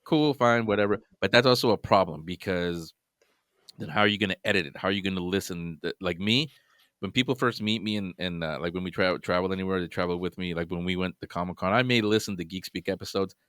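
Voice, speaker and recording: A man's voice: sits low, quick pace, a bit bright, clear, resonant tone, no room sound, studio quality. A man guesting on a podcast, giving earnest advice in casual chat, often restarting his sentences.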